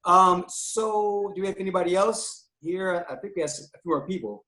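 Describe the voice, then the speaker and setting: A man's voice: high voice, moderate pace, sharp tone. Upbeat man hosting, echoey room. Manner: upbeat, loose, filler-heavy. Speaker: guest host. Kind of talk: casual live show hosting.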